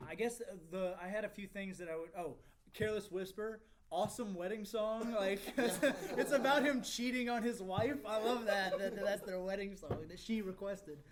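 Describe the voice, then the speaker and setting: A man's voice: sits high, normal speed, hardly any pauses, a loud crowd. A man giving casual, thinking-aloud critique in a group discussion, hesitant and speaking in broken phrases. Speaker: feedback giver at a screenplay table read.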